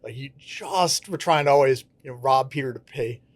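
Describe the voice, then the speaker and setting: A man's voice: medium pitch, moderate pace, dry recording. Pastor, male, Connecticut accent, earnest and reflective, dry close sound. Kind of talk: casual interview talk.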